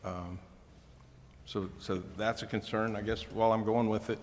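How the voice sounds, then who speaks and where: With some reverb around him, a man with a fairly deep voice speaks at a public meeting. He is a council member, feeling his way tentatively through his questions. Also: fairly even intonation, a little hiss or hum. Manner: halting, tentative phrasing of concerns. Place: indoor council chamber.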